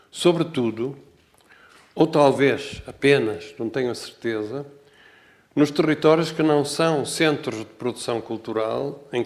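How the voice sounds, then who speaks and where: An older man from Portugal, a Portuguese native speaker with a Portuguese accent, giving a public talk as a guest architect, halting and self-correcting, with wry humor. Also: medium pitch. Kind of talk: public talk, reading a prepared text. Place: lecture hall.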